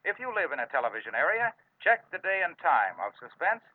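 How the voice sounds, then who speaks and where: High, lively American radio announcer man. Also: a little fast, no echo. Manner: polished, formal. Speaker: radio announcer, sponsor spokesman. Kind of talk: 1950s radio commercial announcing. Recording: tinny, narrow-band vintage radio recording.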